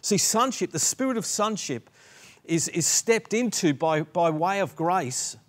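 A man in his sixties with an Australian accent, preaching a sermon earnestly with many restarts from a dark studio set. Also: high-pitched, animated, a little slow. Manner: earnest, gesturing, frequent restarts and repeated words. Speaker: pastor.

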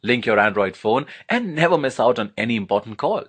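A man's voice: medium pitch, animated, brisk, a bit bright, breathy edge. A man narrating a scripted product demonstration, explaining things step by step in an instructional manner.

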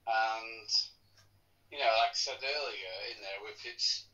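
A man's voice: lowish voice, a little slow, bright, forceful tone, highs cut off. A man in casual conversation, heard echoey and distant over a call. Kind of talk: casual phone chat.

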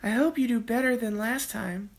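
Storybook wife voiced by a man: high-pitched, sceptical, sour, complaining in drawn-out phrases. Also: gravelly. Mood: sceptical, grumbling. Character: sour, sceptical farmer's wife, grumbling and critical.

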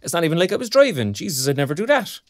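Audio drama: chatty younger Irish father, put-upon but good-natured, lively intonation, dry recording. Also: fairly high pitch, rapid-fire, dry recording. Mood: rueful, animated. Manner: colloquial, animated.

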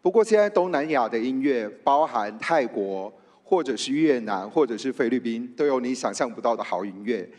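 A man's voice: mid-range voice, expressive, moderate pace, hardly any pauses, darkish tone. A man with a Taiwanese accent giving an award acceptance speech on stage. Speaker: radio show host accepting an award. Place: on stage in a large theatre. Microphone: microphone on a stand, held in hand. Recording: echoey large auditorium with long reverb.

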